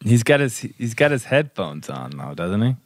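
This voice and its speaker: A man's voice: lowish voice, sing-song, moderate pace, no room sound. A man co-hosting a podcast in casual chat, teasing and playful, laughing often. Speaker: podcast co-host.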